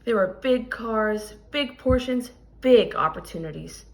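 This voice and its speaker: Animated woman reading a storybook aloud. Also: a little high, a little slow, vocal fry. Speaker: teacher reading a picture book aloud. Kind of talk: expressive children's read-aloud with teaching asides.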